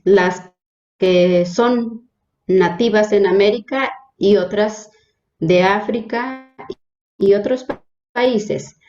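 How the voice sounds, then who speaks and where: A religious sister from Hidalgo, Mexico, whose first language is Nahuatl, introducing herself in an interview with a hesitant manner and many fillers. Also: female voice, mid-range voice, slow pace, darkish tone, reverberant, highs cut off. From Mexico, Hidalgo state. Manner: hesitant, frequent fillers and restarts.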